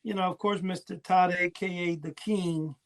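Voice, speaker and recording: A man with a noticeably high voice talks casually as a podcast co-host. He rambles chattily, piling up small details as he reminisces. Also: steady tone, moderate pace. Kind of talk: casual rambling reminiscence about old toys.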